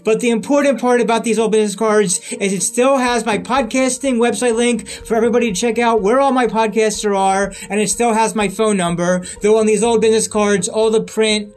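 A man's voice: high voice, steady tone, fairly quick, few pauses, faint music behind. A man giving a rambling solo update on his podcast and videos, often repeating and restarting his phrases. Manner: repetitive, restarts phrases, earnest.

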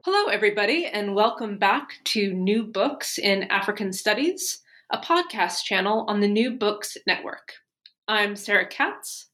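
A woman's voice: fairly high pitch, a bit bright. A woman hosting an interview podcast, asking friendly, carefully phrased questions. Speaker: podcast host.

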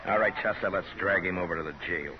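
An actor playing a stern, steady Western town marshal in radio drama, dry, terse and commanding, on an old recording. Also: male voice, sits low, quick pace, over a noisy crowd, close to an everyday voice. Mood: dry, weary. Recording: noisy, muffled 1950s radio recording.

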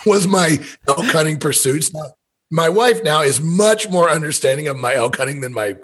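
A man's voice: fairly high pitch, lively intonation, normal speed, fairly bright tone, husky. An American man in his fifties from Missouri, telling stories in a candid, folksy, rambling way as a podcast guest. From Missouri, USA. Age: 50s. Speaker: podcast guest, elk hunter and business owner. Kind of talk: casual conversational storytelling.

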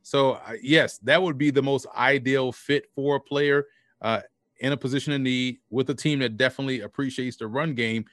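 A man's voice: medium pitch, fairly even intonation, moderate pace, a bit bright, dry recording. Dry-voiced man from New Orleans. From New Orleans, Louisiana. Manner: explanatory, restarts phrases often. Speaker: football analyst guest. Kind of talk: sports podcast interview.

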